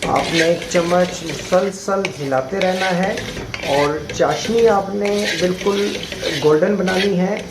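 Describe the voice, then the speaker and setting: A man's voice: high-pitched, vocal fry. A man hosting a cooking show. He explains each step steadily and repeats his tips. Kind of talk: cooking demonstration. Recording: echoey with faint noise.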